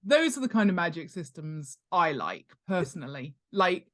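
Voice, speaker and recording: A woman's voice: lowish voice, normal speed. A woman talking casually as an expert podcast guest, explaining ideas informally and emphatically, repeating points and posing rhetorical questions. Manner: animated, emphatic, repeats key points for stress; informal, uses rhetorical questions and acted-out examples. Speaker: podcast guest, author and world-building expert. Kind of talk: casual instructional interview chat.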